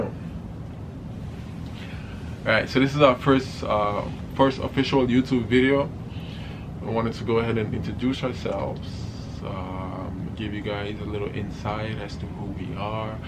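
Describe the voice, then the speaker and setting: A man's voice: fairly low pitch, steady tone, slow, noisy background. A man in his twenties from Saint Vincent, chatting casually and teasing his wife while asking questions in a living room. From Saint Vincent, Caribbean. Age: 20s. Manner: laid-back, joking, teasing. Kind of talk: casual couple Q&A chat.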